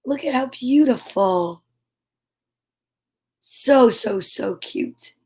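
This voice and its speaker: Slow, high-voiced woman reading a storybook. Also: expressive, a little mellow. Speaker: storyteller and host. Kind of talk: read-aloud children's picture book storytime with chatty asides.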